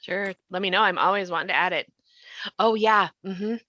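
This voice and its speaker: A woman's voice: medium pitch, moderate pace, sharp tone, no room sound. A woman in a conversational discussion, explaining her points, with a slightly dull recording. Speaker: writer discussing her show.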